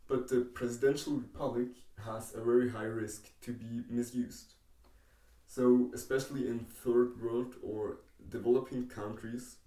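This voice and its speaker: Slow, halting Swiss man explaining. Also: medium pitch, dark tone, far from the mic. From Switzerland. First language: not English. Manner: slow, halting, many pauses and ums. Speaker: educational YouTuber. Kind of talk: explainer narration over diagrams. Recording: echoey room, voice away from the mic.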